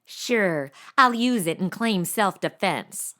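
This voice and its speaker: A woman's voice: mid-range voice, animated, slow, sharp tone. A woman playing a sassy, irreverent, blunt young temp in a comedy audio drama, sarcastic and slangy.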